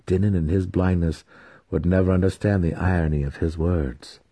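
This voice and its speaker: Low, dark-voiced male dramatic narrator. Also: steady tone, moderate pace, slightly rough. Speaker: audiobook narrator.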